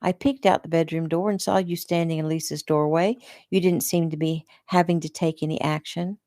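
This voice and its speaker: A woman reading the part of a young woman with a teasing, quick-retort manner. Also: sits low, steady tone, mellow, no room sound, clean studio sound. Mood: sassy. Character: sassy young woman, unbothered.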